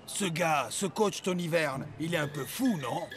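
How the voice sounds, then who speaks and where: A man's voice: fairly high pitch, expressive, unhurried, over music. An actor playing a laid-back, teasing young male footballer in a cartoon. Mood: gossiping. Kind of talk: animated cartoon dialogue.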